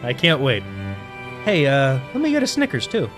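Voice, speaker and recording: A man's voice: medium pitch, wildly swooping pitch, normal speed, fairly bright tone, soft background music. A man playing a weary but good-natured night cab driver in an audio drama, casual and wry. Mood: wry, friendly.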